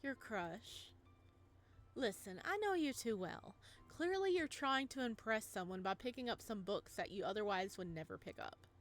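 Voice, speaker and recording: A woman's voice: medium pitch, lively intonation, normal speed, faint music behind, lightly acted. A woman voicing a teasing, playful young librarian who is an old friend of the hero, read from a visual novel. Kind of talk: reading a visual novel aloud.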